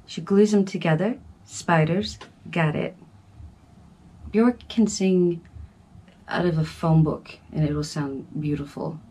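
A woman musician giving casual reaction commentary in a calm, soft-spoken way with playful asides. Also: fairly low pitch, slow, breathy edge, low-quality recording.

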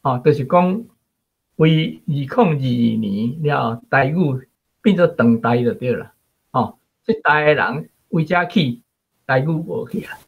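An older man with a Taiwanese accent and a husky voice, giving emphatic unscripted commentary as a guest. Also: medium pitch, animated, unhurried. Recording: dull lo-fi recording.

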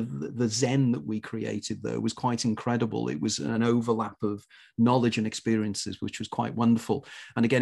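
A man with a gravelly, creaky edge to his middle-pitched voice hosts an interview show. He talks conversationally, chatty and enthusiastic as he addresses his guest. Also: a little mellow.

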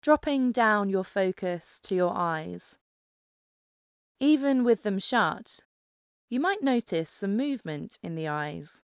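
A woman narrating a guided mindfulness meditation, giving calm, gentle instructions with pauses. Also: mid-range voice, smooth, dry recording, clean studio sound.

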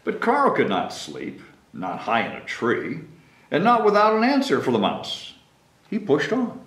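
A man's voice: a little high, huge pitch swings, a little slow, fairly bright tone. A man in his sixties reads a picture book aloud to children expressively, speaking simply to them in a small room. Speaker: mayor reading at a library children's story time. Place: small meeting room with whiteboard, at a table. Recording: roomy, echoing indoor sound.

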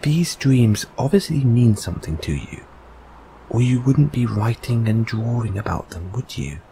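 A man playing a gentle, encouraging boyfriend in a comfort audio roleplay, speaking softly and haltingly with reassuring tag questions. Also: mid-range voice, animated, vocal fry, a little hiss or hum, low-quality recording.

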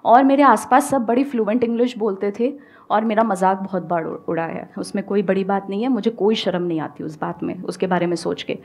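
A woman's voice: mid-range voice, fairly quick, creaky. A woman in her thirties with an Indian accent, giving an emphatic motivational talk on a studio stage, mixing Hindi and English. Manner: emphatic and animated, switching between Hindi and English, gesturing a lot. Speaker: guest speaker, English-speaking coach. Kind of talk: motivational talk to a live audience. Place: indoor studio stage with a live audience. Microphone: headset mic.

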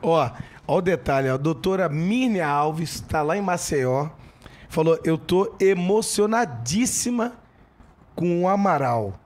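Brief: energetic middle-aged Brazilian host, slightly high voice, studio handheld mic. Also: male voice, measured pace. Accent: Brazilian Portuguese. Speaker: podcast host. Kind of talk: casual podcast talk with live ad reads. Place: podcast studio.

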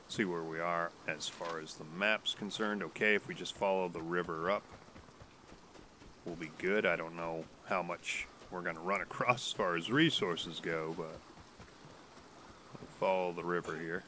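Deep, stuffy-nosed man rambling over gameplay. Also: measured pace, pauses often, sharp tone, slight background noise. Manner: rambling, hesitant, many restarts. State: stuffy from a cold. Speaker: gaming YouTuber. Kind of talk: casual gameplay commentary.

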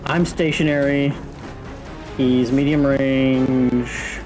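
A man's voice: mid-range voice, flat delivery, extremely slow, rough recording. A man playing a tabletop game, talking casually and working out rules step by step. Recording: music running under the voice. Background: music.

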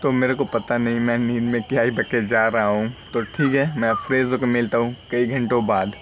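A man's voice: mid-range voice, steady tone, fairly quick. A young man chats casually and ramblingly in a selfie vlog, recorded outdoors on a phone. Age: young adult. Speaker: vlogger. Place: outdoors in a rural village. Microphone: phone at arm's length. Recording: noisy outdoor phone recording, insects, vehicles.

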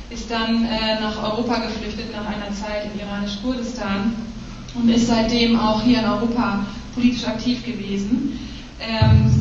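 A woman's voice: on the high side, slight background noise. A young woman moderating a panel, introducing guests hesitantly with frequent fillers. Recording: echoey distant room mic, dull sound.

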